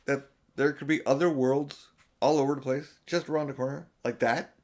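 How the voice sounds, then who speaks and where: A man's voice: medium pitch, fairly even intonation, moderate pace, darkish tone, natural voice. A man voicing an earnest, polite schoolboy in a children's storybook. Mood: puzzled. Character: earnest, questioning schoolboy, eldest brother. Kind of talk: storybook character reading.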